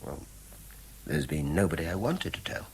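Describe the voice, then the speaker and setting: An actor playing a conscientious, wry middle-aged schoolteacher with an English accent and educated, hesitant phrasing in a 1970s radio drama. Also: male voice, very deep voice, animated, normal speed, slightly rough, exaggerated voice. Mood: wry reflection. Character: conscientious male schoolteacher, wry, guarded, hesitant but firm.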